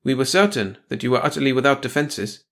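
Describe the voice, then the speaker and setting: A courteous man of science, acted by a male narrator in a sci-fi audiobook. He is composed and formal, choosing measured words at a raised pitch. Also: animated, fairly bright tone. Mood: rueful.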